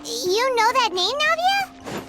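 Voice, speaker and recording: A woman voicing a small, childlike, excitable and nosy fairy companion in an anime-style game. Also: very high voice, slow pace, full character voice. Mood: puzzled, curious. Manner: exclaims, speaks of self in third person. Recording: music playing behind the voice.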